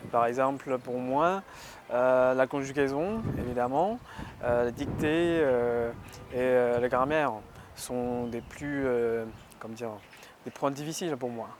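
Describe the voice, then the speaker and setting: A man's voice: medium pitch, a little hiss or hum. Hesitant Chinese-accented man. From China. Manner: hesitant, many pauses and fillers. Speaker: interviewee. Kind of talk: documentary interview.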